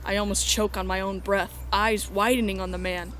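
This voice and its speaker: A woman's voice: medium pitch, steady tone, normal speed, a bit bright, slight background noise. A woman reading scripted first-person story narration as the narrator of a drama.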